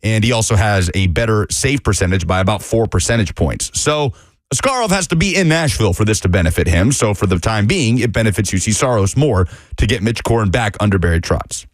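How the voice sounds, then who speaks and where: A man breaking down sports on a studio radio show, brisk and detailed, rattling off records and numbers. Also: fairly low pitch, animated, dry recording. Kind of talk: sports radio talk, analysis. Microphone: studio microphone.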